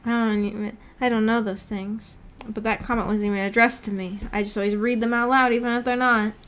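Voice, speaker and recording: A woman's voice: on the high side, little pitch movement, brisk, a bit bright. A young adult woman chatting casually on a livestream, rambling informally and reading viewer comments aloud as she goes. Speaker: VTuber livestreamer.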